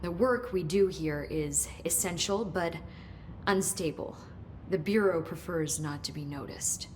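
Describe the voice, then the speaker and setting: A helpful woman researcher in a game, played by a woman, explains strange science with chatty, nerdy eagerness. Her voice sits fairly low and easy. A faint rumble sits under the dialogue. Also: measured pace, close to an everyday voice. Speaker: research scientist guiding the hero.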